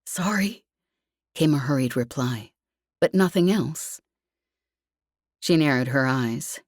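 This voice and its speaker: Female audiobook narrator for fiction. Low register, slow delivery, very dry sound. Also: a little mellow, no room sound.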